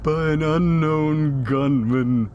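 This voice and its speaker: A man playing a guilt-ridden corrupt policeman in an audio drama, speaking haltingly and stammering as he confesses. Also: on the high side, a little mellow, muffled recording, a little hiss or hum. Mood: anguished, breaking down. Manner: halting, stammering, repeating himself. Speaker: voice actor in a fan-made audio drama.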